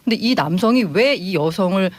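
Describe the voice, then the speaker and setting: Woman explaining with lively, swooping pitch. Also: normal speed, dry recording. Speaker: news reporter on a panel. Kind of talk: explanatory panel talk.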